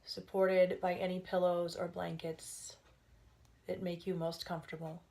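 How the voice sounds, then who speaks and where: A woman leading a guided relaxation as a meditation instructor, speaking gently and soothingly in unhurried phrases with pauses. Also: fairly low pitch, little pitch movement, vocal fry.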